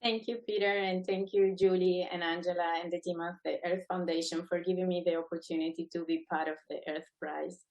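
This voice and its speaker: A woman's voice: fairly low pitch, level tone, brisk, few pauses, darkish tone, rough recording. A middle-aged woman from Venezuela, a native Spanish speaker, giving earnest, encouraging remarks as a guest speaker at a webinar. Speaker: guest speaker, adjudicating panel member. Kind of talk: prepared webinar remarks, semi-spontaneous.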